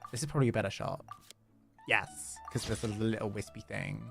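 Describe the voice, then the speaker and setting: Gravelly, low-voiced man livestreaming a game. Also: brisk, fairly bright tone. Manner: playful, chatty, repeats phrases. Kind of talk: casual gaming livestream chat, reading game dialogue aloud. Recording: game music behind the voice. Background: video game music.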